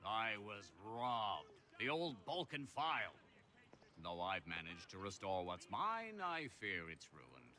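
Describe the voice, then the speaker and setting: Older gentleman inventor for a period game: eloquent, grumbling yet genial, hugely animated low voice. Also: slow pace, full character voice. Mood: exasperated. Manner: theatrical, wordy, old-fashioned phrasing. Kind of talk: video game character acting.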